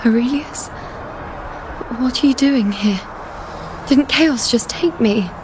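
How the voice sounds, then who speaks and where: An actress voicing a proud, defiant young priestess in a game, speaking slowly and softly. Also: female voice, fairly high pitch, noisy background. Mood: confused. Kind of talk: game voice acting.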